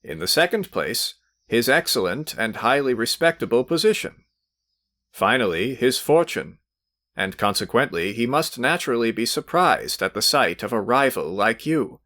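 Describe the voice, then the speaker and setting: A man reading aloud voices an annoyed, frowning gentleman who speaks low and measured. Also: big pitch swings. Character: weary, annoyed grown man, dry.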